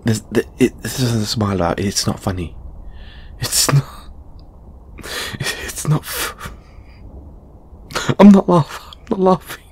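A British man voicing a shy, flustered, affectionate male lamia flatmate in a halting, intimate audio roleplay. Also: on the low side, slight background noise, natural voice. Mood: flustered denial. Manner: halting, bashful, stammering.

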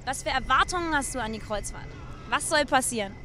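A young woman with a German accent, interviewing people as a festival TV reporter on a ship's deck, chatty and quick to laugh. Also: sits high, expressive, pushed and bright, slight background noise. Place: outdoor deck of a cruise ship. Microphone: handheld mic.

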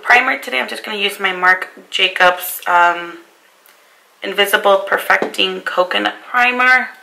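A young woman talks through her makeup routine at home, her voice worn hoarse and raspy by a cold. It carries a deep, gravelly fry yet stays bright and forward. She speaks casually to the camera and keeps apologizing for how she sounds. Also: moderate pace, rough recording. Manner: chatty, apologetic about her voice. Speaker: beauty vlogger. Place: bedroom vanity, indoors.

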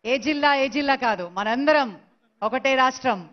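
High-voiced woman calling out names slowly over a pa at a big ceremony, dull lo-fi sound. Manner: slow, measured name-calling into a PA. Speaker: event announcer. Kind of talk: ceremony announcing. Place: large stadium ceremony.

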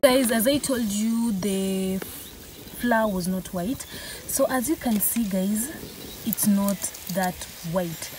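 A woman's voice: mid-range voice, slow pace, loud music behind the voice. A young woman with an East African accent casually demonstrates cooking for a vlog, recorded outdoors. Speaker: cooking vlogger. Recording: noisy outdoor phone recording.

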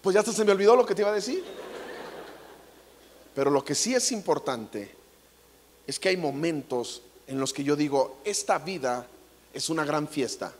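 A man's voice: on the high side, expressive, moderate pace, long pauses, slight background noise. A man in his thirties with a Mexican accent, preaching on a large theater stage into a handheld mic in a joking, playful way. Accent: Mexican Spanish. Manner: playful, jokey, acts out quotes. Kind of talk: comedic stage sermon. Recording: long hall reverb.

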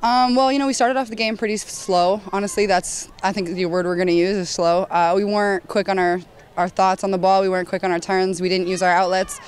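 A young woman goalkeeper talking quickly and eagerly in a post-game interview, outdoors at a stadium at night into a handheld mic. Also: mid-range voice, fairly even intonation, slight background noise. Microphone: handheld mic held at chin.

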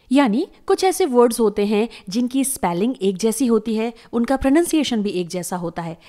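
A woman teacher, patiently explaining word meanings and repeating words slowly for learners in a voice-over lesson. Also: mid-range voice, dark tone. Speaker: English teacher. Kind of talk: explaining lesson to camera viewers. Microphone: voice-over on slides.

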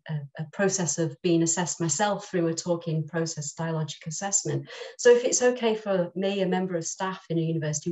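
A woman's voice: sits low, a little mellow, rough recording. A middle-aged woman giving a thoughtful, tentative comment in a university seminar over a video call. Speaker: university staff member, seminar participant. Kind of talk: reflective spoken seminar comment. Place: home room with bookshelves, on a video call. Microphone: computer mic on video call.

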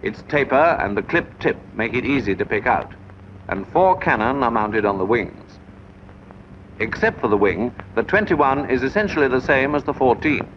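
A man narrates a 1940s military instructional film in a medium-pitched voice. His delivery is measured and explanatory, as if teaching recruits from a script. The old soundtrack is dull, with faint noise under the voice.

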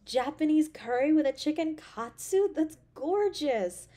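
A woman voice actor playing an affectionate, playful, caring girlfriend in an intimate roleplay audio drama, with a tender, expressive delivery. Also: high voice, a little slow. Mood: delighted, admiring.

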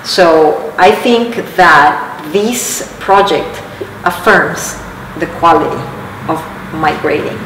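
Low-voiced Colombian woman in an echoey space. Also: slow. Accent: Colombian Spanish. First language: Spanish. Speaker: artist being interviewed. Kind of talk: reflective interview talk. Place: large high-ceilinged church exhibition space, indoors. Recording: echoey room, distant mic, clear background noise.

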